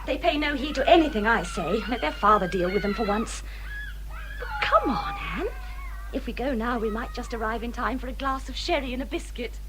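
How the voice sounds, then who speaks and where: A woman's voice: on the high side, expressive, a little fast, over music, natural voice, poor audio. An actress playing a self-pitying young married woman in a period radio drama, with a genteel English accent and a fretful, aggrieved manner.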